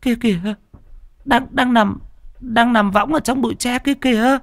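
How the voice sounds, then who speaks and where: Shrill stammering village wife in a ghost story, put on by a male narrator; dry close voice. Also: fairly even intonation, normal speed, dry recording. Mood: frightened. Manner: stammering, shrieking high.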